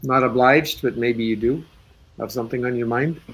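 A man speaking English on a video call, relaxed and thinking aloud with restarts as a guest speaker. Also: mid-range voice, moderate pace, husky. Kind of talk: casual talk on a video call.

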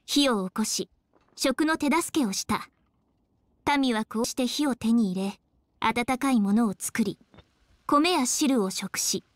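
A woman's voice: on the high side, dry recording. An actress playing a polite, composed young female scholar in an anime game, speaking in an articulate, explanatory way.

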